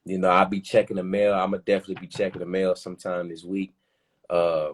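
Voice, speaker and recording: Laid-back male stream host with a low voice and easy slang. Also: fast. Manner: relaxed slang, addresses viewers. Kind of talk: casual chat.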